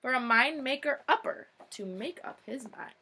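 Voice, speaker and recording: A young woman reads a children's picture book aloud in a teacher's expressive delivery. Her voice sits a little high and has a very bright, clear-cut edge. She drops in short, informal asides between the lines of verse. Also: normal speed.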